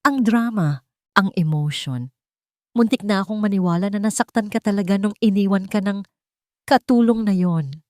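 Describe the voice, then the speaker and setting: A woman's voice: mid-range voice, a little mellow, no room sound, clean studio sound, pitched above the performer's own voice, natural voice. Woman voicing a scheming, mocking rich aunt. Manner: mocking, taunting, slow theatrical delivery. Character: scheming, menacing rich aunt blackmailing her nephew. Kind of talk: dramatic audio love story.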